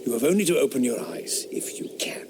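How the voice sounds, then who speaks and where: An actor playing a scornful 1760s rationalist. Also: male voice, mid-range voice, lively intonation, a little fast, lightly acted. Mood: intense. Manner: sneering, lecturing, drops to intense whispers. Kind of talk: radio drama acting.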